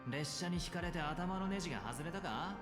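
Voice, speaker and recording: An actor playing a sardonic young alter ego in a Japanese game, blunt, casual and mocking. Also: male voice, high-pitched, racing, natural voice. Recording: echoey game audio under music. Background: music.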